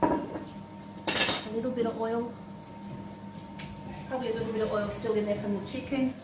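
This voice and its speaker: A woman's voice: a little high, steady tone, normal speed. Middle-aged woman, distant in a clattering kitchen. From Australia. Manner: plain step-by-step commentary while busy at the stove. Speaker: home cook presenting a recipe. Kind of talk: casual cooking demonstration. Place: home kitchen, indoors. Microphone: camera mic a few steps away. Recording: roomy, distant, noisy kitchen camera sound. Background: pots and dishes clattering, sizzling.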